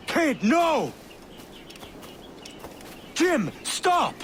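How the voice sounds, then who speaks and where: An actor playing a roguish, persuasive young pirate in a video game, casual and coaxing. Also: male voice, very high voice, huge pitch swings, drawn-out words, fairly bright tone, slight background noise, heavily acted. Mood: urgent. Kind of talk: video game cutscene acting.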